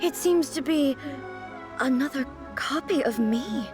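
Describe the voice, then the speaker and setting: A woman playing a dignified, earnest, naively puzzled ruler in a video game, speaking in a formal, deliberate way. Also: high-pitched. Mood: astonished. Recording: music playing behind the voice.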